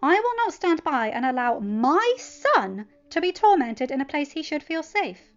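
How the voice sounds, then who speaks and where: A woman's voice: sits high, very animated, unhurried, muffled recording, voice pushed up. A woman voicing a formidable, loving mother, firm and earnest, in a story reading. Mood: firm, indignant.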